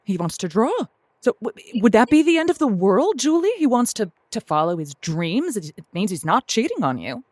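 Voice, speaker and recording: A woman playing a kindly, flustered advice show host who speaks haltingly, with wry tact, as in an improvised comic call-in show. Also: medium pitch, very animated, a little mellow, no echo. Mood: wry, advising. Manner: halting, wry, tactful, stammering over delicate words. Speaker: radio host.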